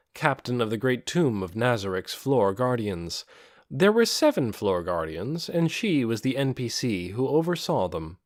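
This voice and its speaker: A man's voice: mid-range voice, dry recording, studio quality. A man narrating a fantasy audiobook in a scripted, storytelling style.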